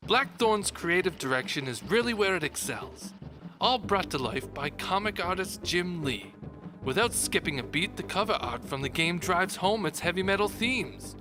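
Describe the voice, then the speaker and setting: Guy reading a game review all theatrical, highish voice, really animated, music under it. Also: moderate pace. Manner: theatrical, mock-grand. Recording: music behind the voice. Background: background music.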